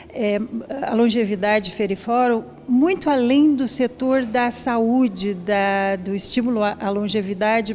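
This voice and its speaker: Hesitant Brazilian woman over faint noise. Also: fairly high pitch. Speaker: physician and trade fair executive. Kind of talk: interview answer. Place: event lounge. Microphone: handheld interview microphone. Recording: faint event room noise.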